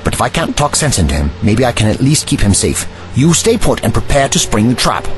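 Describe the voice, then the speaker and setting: A man's voice: mid-range voice, sing-song, fairly quick, faint music behind, lightly acted. A man playing an older, commanding and protective time traveler in an audio drama, speaking formally and precisely in an avuncular way. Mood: calm, firm. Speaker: actor in a sci-fi audio drama.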